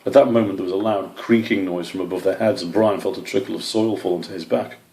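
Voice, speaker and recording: A man's voice: sits low, fairly even intonation, fast talker, creaky, warm tone, reverberant, slight background noise. A man reading a scripted children's animal story as its narrator. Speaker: author or story reader.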